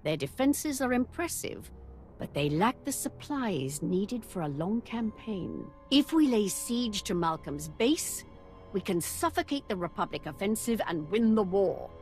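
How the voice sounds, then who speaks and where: A scheming, bloodthirsty Sith officer. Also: female voice, mid-range voice, very animated, a little slow, darkish tone. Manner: relishing, scheming. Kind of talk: video game voice acting. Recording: game audio with music score.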